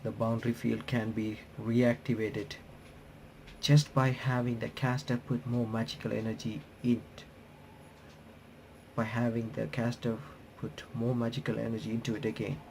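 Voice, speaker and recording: A man doing a casual visual novel playthrough, reading the on-screen text aloud in a halting, stumbling way and reacting as he goes. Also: medium pitch, level tone, gravelly, darkish tone, a little hiss or hum, low-quality recording. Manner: halting, stumbling reader who rereads phrases.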